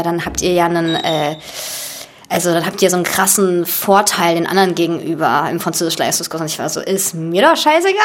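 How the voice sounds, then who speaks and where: Relaxed chatty young woman, lowish voice. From Germany. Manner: chatty and relaxed, many fillers and restarts. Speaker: actress and guest. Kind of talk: casual chat.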